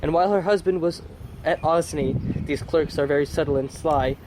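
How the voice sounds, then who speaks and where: A young man with a slightly raised voice reads an old comic tale aloud in an amateur, deadpan manner. His delivery is plain and a little awkward, and faint hiss sits under the recording. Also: a little high, moderate pace. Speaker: storyteller reading the tale aloud.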